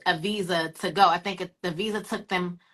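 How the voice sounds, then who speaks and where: A woman's voice: fairly low pitch, steady tone, brisk, a bit bright. An American woman hosting a casual interview in a chatty, conversational way. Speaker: host, interviewer. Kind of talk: casual chat.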